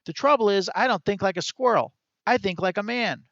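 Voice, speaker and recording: A man voicing a clever, touchy male talking squirrel who talks quickly and complains with indignation. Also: high voice, a bit bright, muffled recording, a little hiss or hum, pitched above the performer's own voice. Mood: self-pitying.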